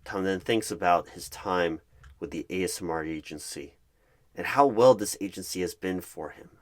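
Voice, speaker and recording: A man narrating an ASMR roleplay in the third person, deliberately, in short dramatic phrases. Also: low-pitched.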